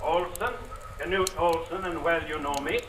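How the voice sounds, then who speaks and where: An actor playing a proud, bitter former resistance leader confessing in a radio drama, with clipped, formal, declamatory phrasing. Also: male voice, fairly high pitch, fairly even intonation, measured pace, lightly acted. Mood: defiant, compelled. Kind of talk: 1950s radio drama acting. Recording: noisy old radio broadcast recording.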